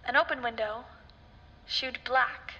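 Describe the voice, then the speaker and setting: A woman playing a haunted scholar in a horror radio drama, narrating in a solemn, deliberate, foreboding way. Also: on the high side, sharp tone, muffled recording, a little hiss or hum, full character voice. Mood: tense. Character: grave, haunted scholar recounting horrors, ominous.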